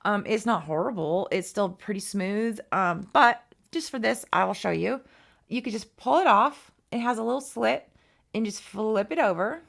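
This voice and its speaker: Hoarse, chatty woman with a gravelly voice. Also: mid-range voice. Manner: chatty, rambling, many ums and restarts. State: hoarse, losing her voice. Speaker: art teacher. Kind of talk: casual art tutorial.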